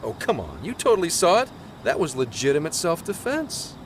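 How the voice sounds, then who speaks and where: A man's voice: on the high side, wildly swooping pitch, fairly quick, slight background noise. An actor playing an easygoing, flippant plainclothes policeman in a video game cutscene, confident and playfully theatrical. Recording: music behind the voice.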